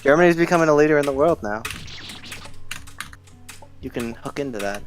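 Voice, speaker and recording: A man with a nasal, deadpan voice, chatting casually while watching a game stream. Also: on the high side, brisk, music in the background. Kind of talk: casual gaming chat.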